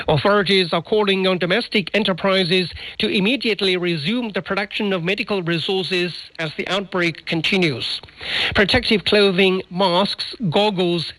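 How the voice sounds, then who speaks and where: A man's voice: high voice, a bit bright, no echo, rough recording. A man reading scripted news as a radio anchor, heard over a shortwave broadcast.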